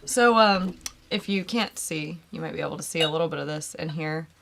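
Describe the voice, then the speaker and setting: A woman co-hosting a live chat show, her voice a little hoarse and scratchy. She talks in a chatty, easygoing way and reads out messages from viewers. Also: low-pitched, fairly quick, fairly bright tone. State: slightly hoarse, losing her voice. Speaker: co-host.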